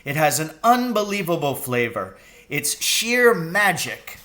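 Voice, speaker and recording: Exuberant, emphatic storybook father, bright-voiced man acting. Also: fairly high pitch, unhurried, pitched above the performer's own voice, heavily acted. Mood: eager. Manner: emphatic, grandly excited declarations. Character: exuberant, boastful, loving father, grown man with boyish glee. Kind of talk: children's storybook reading.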